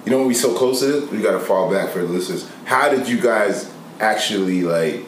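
A man co-hosting a casual interview who jumps in often, excitable and slangy. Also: lowish voice, a little fast. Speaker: podcast co-host. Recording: echoey room with audible noise.

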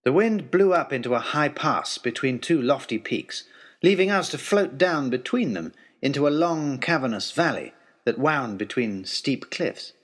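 A man playing an earnest, eloquent gentleman adventurer, narrating his letters home as a wonderstruck, literary storyteller in an audio drama. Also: medium pitch, lively intonation, moderate pace, close to an everyday voice. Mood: awed.

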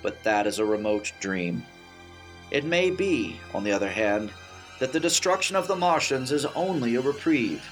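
A man's voice: medium pitch, sing-song, normal speed, faint music behind, lightly acted. A man playing an earnest, easily exasperated radio broadcaster in a comic 1930s-style radio play, with theatrical delivery. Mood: solemn. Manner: theatrical, swings between incredulity and solemn oration. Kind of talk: 1930s radio play acting, comedy spoof.

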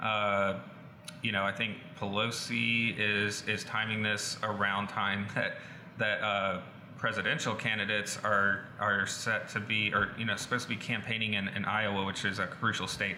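Very bright, forceful man, halting delivery. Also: on the low side. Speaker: podcast co-host giving news updates. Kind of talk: casual news rundown. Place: indoor studio under renovation. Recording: echoey room, faint background noise.

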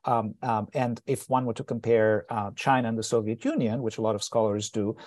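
A middle-aged man with a Bulgarian accent giving scholarly interview answers in a deliberate, halting, explanatory way. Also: lowish voice, fairly even intonation, a little mellow, no echo, studio quality. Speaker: author and scholar being interviewed.

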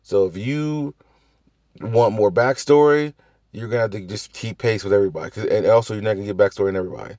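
A man hosts a loose, talky review show and wanders off on tangents, restarting sentences and tossing in the odd swear. His voice sits a little low, and a slight cough hangs on from a recent flu. The recording is dry, with a slightly dull, lo-fi sound. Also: fast talker, dark tone, no room sound. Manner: rambling with tangents, frequent restarts and mild swearing. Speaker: podcast host reviewing TV shows. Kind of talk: casual solo review chat.

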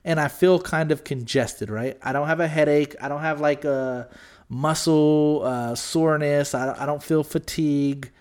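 A man's voice: on the high side, fairly even intonation, unhurried, darkish tone, smooth. A man talking loosely on a podcast, stuffy and a little scratchy in the throat. He circles back on himself, restarts sentences and drops the odd curse word. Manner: rambling, restarts often, swears casually. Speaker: podcast co-host. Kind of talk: casual podcast chat.

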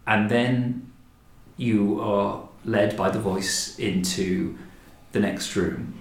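A man hosting a podcast in a measured, articulate, deliberate and descriptive way. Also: fairly low pitch, steady tone. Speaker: podcast host, director of a historic house. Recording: roomy, echoing indoor sound.

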